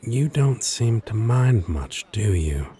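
A man's voice: mid-range voice, very animated, warm tone, slight background noise. A man playing a flirtatious, possessive demon lover in an intimate, teasing ASMR roleplay. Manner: slow, teasing, chuckling drawl.

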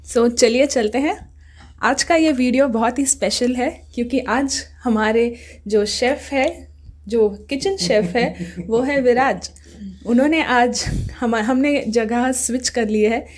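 A woman's voice: a little high, takes it slowly, darkish tone. A woman in her thirties hosting a home cooking show, chatting casually and asking guiding questions. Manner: encouraging, asks guiding questions. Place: home kitchen, indoors.

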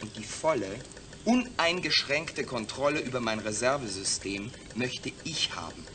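A man acts out a defiant astronaut patient in a science-fiction radio play. He sits at a middle pitch and speaks deliberately and articulately. He is proud, distrustful and used to making demands. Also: expressive, background noise, natural voice. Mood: defiant, indignant. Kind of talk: German science-fiction radio drama acting.

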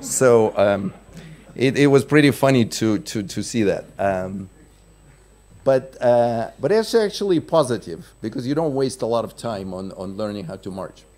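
A man's voice: mid-range voice, lively intonation, unhurried, a little mellow. A middle-aged man from Russia giving a conference keynote at a lectern in a large hall, explaining step by step and often restarting and repeating words. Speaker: keynote speaker. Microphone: lectern microphone.

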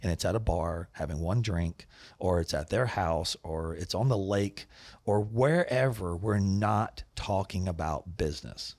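A middle-aged man hosting a podcast in a studio set on a boom mic, talking casually and growing animated and emphatic as he makes his points. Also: measured pace, dry recording. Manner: animated, gets loud and emphatic when making a point. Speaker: podcast host, business owner. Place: studio set with wooden walls and shelves. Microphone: boom mic with headphones.

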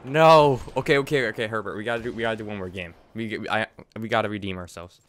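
A young man streaming a game from his room, chatting excitedly and repeating himself. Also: on the low side, fast, a little hiss or hum. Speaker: gaming streamer. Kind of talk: casual gameplay chat. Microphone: desk mic, wearing headset.

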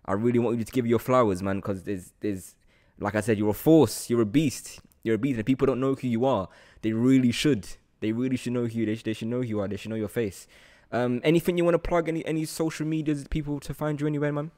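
A man's voice: medium pitch, fairly even intonation, fast, dark tone. A man hosting a casual podcast, effusive and informal, repeating phrases for emphasis into a close microphone. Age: 20s. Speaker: podcast host. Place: room indoors with large window. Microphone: podcast microphone on boom arm, close.

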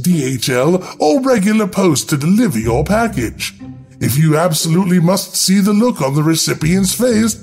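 A man's voice: on the high side, darkish tone. A man reading scripted, darkly humorous narration in a wry, theatrical instructional manner.

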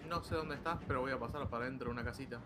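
Argentine man, mid-pitched, casual slangy gamer talk with halting explanations, clearly noisy voice-chat recording. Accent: Argentine Spanish. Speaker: fellow player in voice chat. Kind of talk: casual chat while gaming.

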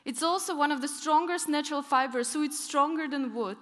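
A young woman speaks from a big conference stage through a headset mic, her voice a little high and ringing through a long hall reverb. She tells her stories with lively emphasis and presses firmly on her key points. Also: a little fast. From northern Europe, Arctic region. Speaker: keynote speaker. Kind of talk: conference keynote talk.

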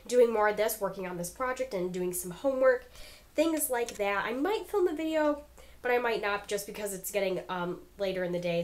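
A young woman vlogging casually in her bedroom, a little stuffed up from a cold, chatty with lots of ums. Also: mid-range voice. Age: young adult. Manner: chatty, many ums, upbeat. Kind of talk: casual chat. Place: bedroom indoors. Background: fan humming.

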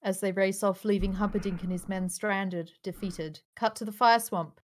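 A woman's voice: mid-range voice, fairly even intonation, moderate pace, a little hiss or hum. A woman reading stage directions aloud as narrator in a live script table read over a video call.

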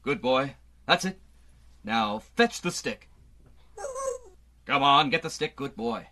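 A man's voice: mid-range voice, expressive, slow pace, pushed and bright, slight background noise. A man playing a sensible, exasperated Earthman space adventurer in a radio drama, wry and down-to-earth. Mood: coaxing.

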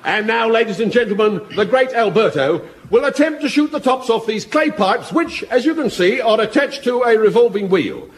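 An actor playing a pompous variety-show compere in a vintage radio comedy. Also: male voice, high-pitched, lively intonation, normal speed, few pauses. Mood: grand. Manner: grand, pompous announcing.

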